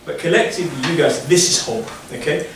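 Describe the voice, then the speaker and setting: A man's voice: fairly high pitch, quick pace, vocal fry. A man from Malaysia giving an interactive talk to a group of teenagers, asking them questions and encouraging their answers. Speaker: invited speaker to a youth group. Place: community school room. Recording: very echoey room, far from mic, noisy.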